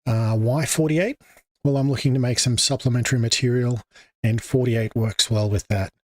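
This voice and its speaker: A man's voice: mid-range voice, fairly even intonation, moderate pace, darkish tone. An Australian man hosting an English-teaching livestream, talking off the cuff with many fillers and restarts. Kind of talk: unscripted livestream talk. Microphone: desk microphone.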